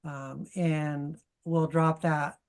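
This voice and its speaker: A middle-aged woman hosting a webinar and introducing a guest over a video call, in a halting, conversational way, often correcting herself. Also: deep voice, little pitch movement, darkish tone, smooth. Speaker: host introducing a guest speaker.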